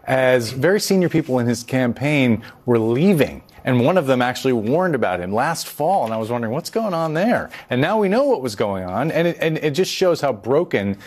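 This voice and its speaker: A man speaking as a panel guest, animated and incredulous. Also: medium pitch, moderate pace, hardly any pauses. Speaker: market commentator.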